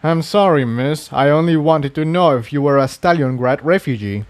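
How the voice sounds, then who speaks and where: A man's voice: fairly high pitch, moderate pace, smooth, dry recording, lightly acted. A man playing a polite, hesitant male pegasus blacksmith in a fantasy story reading. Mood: polite, apologetic.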